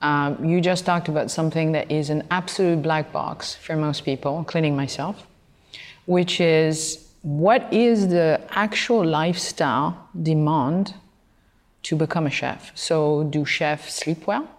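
A woman hosting a podcast, reading a scripted intro and then asking interview questions in a measured, deliberate way. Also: deep voice. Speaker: podcast host.

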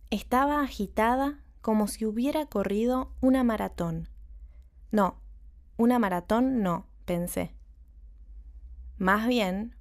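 A woman hosting a language-learning podcast, reading a story and vocabulary with clear, careful enunciation. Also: mid-range voice, a little mellow. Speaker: podcast host and story narrator. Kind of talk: scripted narration for language learners.

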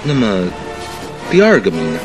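A man's voice: lowish voice, huge pitch swings, moderate pace, over music. A man in a Chinese radio drama playing a detective's earnest, puzzled companion with a hesitant, musing delivery.

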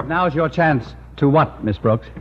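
An actor playing a brisk, affable magazine photographer in an old American radio comedy, businesslike in manner. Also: male voice, fairly high pitch, expressive, slight background noise. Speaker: supporting actor in a radio sitcom. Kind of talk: 1940s-50s American radio comedy acting. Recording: muffled old-time radio recording.

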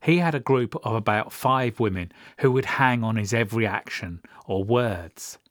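A man's voice: on the low side, moderate pace, dry recording. A mature man hosting a podcast, narrating memories from his own life in a personal, storytelling way. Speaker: podcast host.

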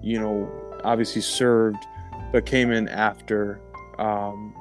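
A man around forty with an American accent talks in a fairly low voice. He tells personal stories in casual, slangy language as an interview guest. Soft music sits underneath. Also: little pitch movement, slow pace. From upstate New York. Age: about 40. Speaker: interview guest, army veteran.

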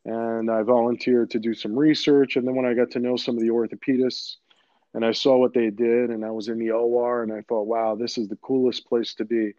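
Mellow-voiced surgeon from New York. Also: male voice, mid-range voice, level tone, dry recording. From Queens, New York. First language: Greek. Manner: measured, explanatory, with frequent "uh" and "um". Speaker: orthopedic surgeon, podcast guest. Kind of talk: conversational interview answers. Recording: dull, dry, lo-fi sound.

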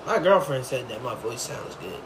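A young man in casual chat, animated and jokey, often laughing. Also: medium pitch, normal speed. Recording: faint background noise.

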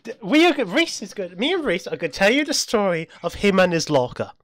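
A young British man with a bright, fairly high voice chats casually as a podcast co-host. He tells anecdotes in a halting way, often stammering and circling back over his words. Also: very animated.

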